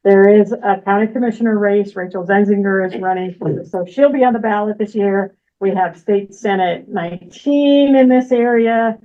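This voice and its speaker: A woman's voice: mid-range voice, normal speed, hardly any pauses. A woman giving a political action report at a community meeting, laughing easily. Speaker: political action team lead. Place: meeting room. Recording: tinny, phone-like audio.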